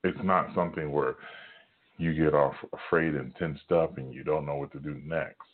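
A man with a very deep, rumbling voice giving an informal sermon at home, talking quietly with many pauses and fillers. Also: steady tone, breathy edge, narrow, tinny audio. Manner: hushed, halting talk with many fillers. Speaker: preacher. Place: home at night, son in next room.

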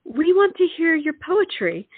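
A woman acting an earnest young sweetheart in a stage comedy, insistent and romantic. Her high, very animated voice comes through thin, phone-like sound. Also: measured pace, dry recording, heavily acted. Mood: coaxing. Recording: tinny, narrow-band sound.

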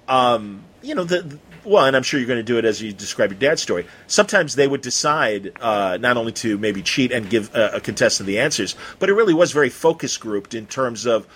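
A man's voice: medium pitch, lively intonation, brisk, hardly any pauses, a bit bright, husky. A man hosting a casual interview, talking in an effusive, rambling way and stumbling over his phrases. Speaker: interview host.

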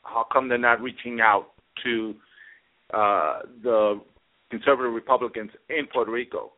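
Talk radio host, man, halting delivery with repeated words, mid pitch, thin telephone-grade audio. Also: fairly even intonation. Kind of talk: casual political talk radio. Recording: thin phone-like sound.

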